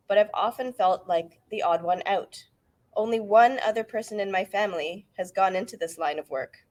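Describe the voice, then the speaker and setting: A woman's voice: medium pitch, darkish tone. A middle-aged Canadian woman, an author reading the introduction to her book aloud at a book event. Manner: measured, earnest reading. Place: bookfest event hall.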